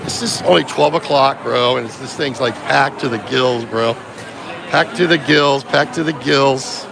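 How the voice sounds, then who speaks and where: A man's voice: fairly high pitch, vocal fry, background noise. A man live-streaming from a phone while walking a busy mall, talking rambling and loose, repeating himself and saying "bro" constantly, sick and losing his voice. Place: outdoors and in a busy shopping mall. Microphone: phone held while walking.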